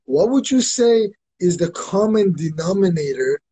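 A man hosts over a video call, his voice dark and husky yet pitched a bit high. He keeps things upbeat and direct with short prompts. He speaks into a webcam mic from a small room at home. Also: animated, a little slow. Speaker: host.

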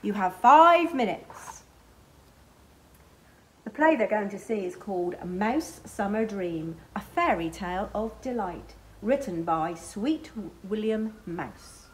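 A middle-aged woman reading a picture book aloud to children, expressively, pausing to point out the pictures. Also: medium pitch, animated, poor audio. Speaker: library storyteller. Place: small library children's corner, indoors.

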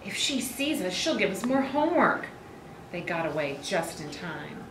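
A woman's voice: fairly low pitch, very animated, moderate pace. A woman reading a children's picture book aloud. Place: school office indoors. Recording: roomy, distant mic, clear background noise.

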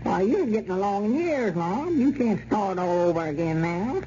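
High, bright, dim but kindly old Southern country fellow; vintage radio comedy. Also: male voice, animated, moderate pace. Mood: worried, pleading. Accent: rural Southern American. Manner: folksy, befuddled, muttering. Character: dim-witted, kindly, literal-minded old backwoods man. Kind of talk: 1930s-40s radio comedy acting. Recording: dull, noisy old radio recording.

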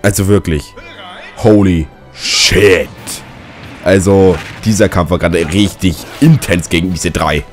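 A young man with a slightly hoarse, cold-worn voice, commentating a fighting game and ranting with lots of swearing. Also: low voice, measured pace. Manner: swears a lot, rants and shouts at the game. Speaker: let's player. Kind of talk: gaming commentary. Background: game music.

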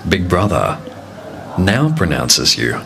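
A man speaking as the unseen voice of a reality show, giving slow, measured, commanding announcements. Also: low-pitched, sing-song, gravelly, noisy background. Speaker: reality show voice of Big Brother. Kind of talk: scripted announcements.

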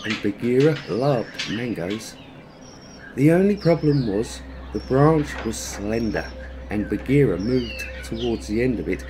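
Slow-paced male storyteller, noisy recording. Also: medium pitch. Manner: measured, dramatic pauses. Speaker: storyteller, channel host. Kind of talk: expressive children's story narration.

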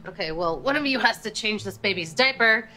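A woman's voice: mid-range voice, expressive, brisk, bright, forceful tone. A brash, crude woman. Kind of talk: sitcom acting.

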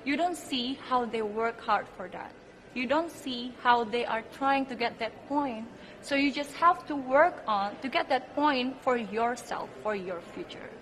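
A young woman with an Indonesian accent speaks in a fairly high voice, answering interview questions earnestly and emphatically. Her English has non-native phrasing. There is clear noise around her. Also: a little slow, fairly bright tone. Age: 20s. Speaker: beauty pageant contestant. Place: indoor press backdrop at a pageant event. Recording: clearly noisy room ambience.

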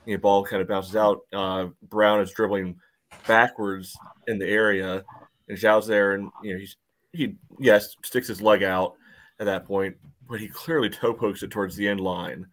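A man's voice: on the low side, steady tone, normal speed, a bit bright. A man giving casual match analysis as a soccer podcast panelist on a video call, often padding with filler phrases.

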